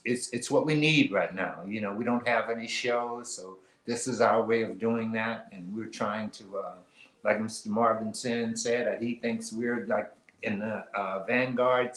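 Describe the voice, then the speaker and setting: A man's voice: on the low side, fairly bright tone. A man co-hosting a casual live-stream show, talking in a loose, rambling way with plenty of fillers and restarts. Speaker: co-host of a live online artist marketplace show. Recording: roomy echo.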